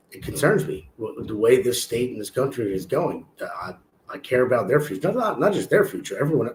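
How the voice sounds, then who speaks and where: Slightly hoarse man in an echoey room. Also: medium pitch, slight background noise. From Philadelphia, USA. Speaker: interview guest, political candidate. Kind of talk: casual interview chat. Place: room at home, indoors. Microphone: video call. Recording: roomy echo on a video call.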